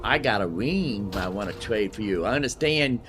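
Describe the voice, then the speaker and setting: A man's voice: on the low side, animated, normal speed, over music. A man giving casual gameplay commentary as a video host, repeating his phrases and using folksy slang.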